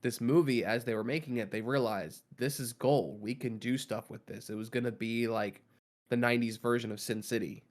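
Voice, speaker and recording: A man with a gravelly, rough-edged voice talks casually as a podcast co-host. He goes over background at length, with plenty of ums and restarts, at a middling pitch for a man. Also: fairly even intonation, normal speed.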